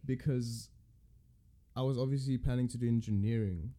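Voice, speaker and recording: Mellow-voiced young South African man. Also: medium pitch, steady tone, normal speed. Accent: South African English. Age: young adult. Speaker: university student. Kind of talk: casual chat.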